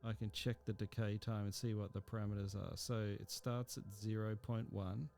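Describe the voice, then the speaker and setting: A man teaching a music software tutorial, thinking aloud step by step with frequent ums. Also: lowish voice, flat delivery, moderate pace, gravelly. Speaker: music technology instructor. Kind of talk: screen-recorded software tutorial.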